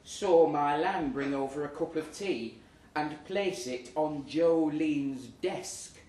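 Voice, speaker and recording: A British man reads a comic story aloud in an expressive, theatrical storytelling manner at a live pub event. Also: fairly high pitch, slow pace. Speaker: reader at a live literary event. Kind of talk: dramatic comic story reading to an audience. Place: pub event room, live before an audience. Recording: roomy live pub recording, distant, faint noise. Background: faint room ambience, audience.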